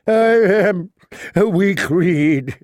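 A guilt-ridden priest, wails in a raised, high voice. He drags his words out slowly, weeping and begging in abject storybook misery. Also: animated, a little mellow, no echo, studio quality, full character voice. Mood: tearful pleading. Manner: wailing, drawn-out pleading. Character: guilt-ridden, weeping, round-shouldered middle-aged priest begging forgiveness.

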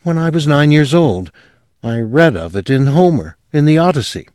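A man voicing a young woman who is eerie and rapturous, speaking softly and breathlessly. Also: on the high side, sing-song, moderate pace, dry recording, studio quality, pitched above the performer's own voice. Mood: thoughtful.

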